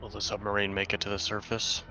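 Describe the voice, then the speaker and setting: A man with a deep, creaky voice takes part in a tabletop game. He speaks in character haltingly, in a flat monotone, with faint music underneath.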